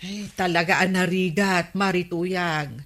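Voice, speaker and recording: A woman's voice: on the low side, fairly even intonation, a little slow, a bit bright. A woman playing a frank, chatty neighbour in a radio comedy drama. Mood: chiding.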